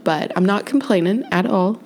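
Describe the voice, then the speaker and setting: A woman's voice: mid-range voice, normal speed, a little mellow, a little hiss or hum. A woman hosting her own podcast, sounding stuffy and congested as she rambles through a chatty, self-correcting personal update early in the morning. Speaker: podcast host. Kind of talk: casual solo life update.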